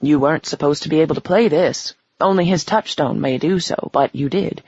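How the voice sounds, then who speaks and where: A woman voices a wry, evasive male violinist who speaks quickly and matter-of-factly. Her husky tone carries a slight rasp on a dry, dull recording. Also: low-pitched, darkish tone, dry recording.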